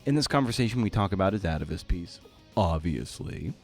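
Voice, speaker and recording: A man's voice: sits low, animated, fairly quick, darkish tone, soft background music. A man hosting an interview podcast in a casual, slangy, laid-back way.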